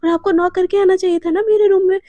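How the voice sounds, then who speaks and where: Woman playing a young woman, defiant, whiny, very high voice, dry close sound. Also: steady tone, fast, mellow, no echo, highs cut off. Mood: whiny protest. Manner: coaxing, then cheeky and pleading.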